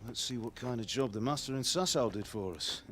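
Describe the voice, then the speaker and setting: An actor playing a middle-aged blacksmith father in a video game, gruff and authoritative. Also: mid-range voice, a little fast, a bit bright, slight background noise, close to an everyday voice. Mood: pleased, businesslike. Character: stern middle-aged blacksmith father, proud of his craft. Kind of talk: video game cutscene acting.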